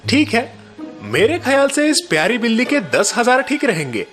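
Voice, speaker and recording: A man's voice: very high-pitched, huge pitch swings, normal speed, fairly bright tone, soft background music, pitched above the performer's own voice, exaggerated voice. A man voicing a shrewd, miserly Marwari bargainer in a cartoon story, hesitant and feigning sentimental reluctance. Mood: agreeable.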